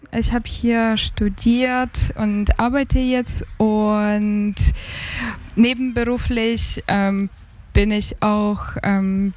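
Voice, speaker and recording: A woman in her thirties speaks with a Ukrainian accent at a very unhurried pace. She is an interview guest answering off the cuff, often hesitating as she searches for the right words. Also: on the high side, a little mellow. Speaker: interview guest, advisory board member.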